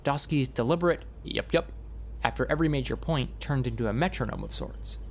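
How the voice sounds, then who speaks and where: A man narrating a scripted audiobook story in a wry, expressive storytelling manner. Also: mid-range voice, quick pace, slight background noise.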